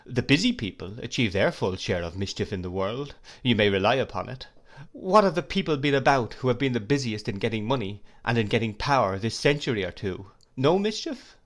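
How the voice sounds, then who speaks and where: A man's voice: medium pitch, very animated, brisk, lightly acted. A man voicing a shrewd, grave middle-aged lawyer who questions in a probing, emphatic way.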